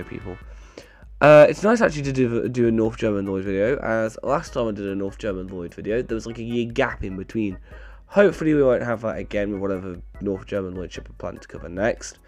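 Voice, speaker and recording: A man narrating in a wry, deadpan way, his voice slightly off as he recovers from a bad cold. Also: on the low side. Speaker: video creator and narrator. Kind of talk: scripted narration with dry humour, casual outro.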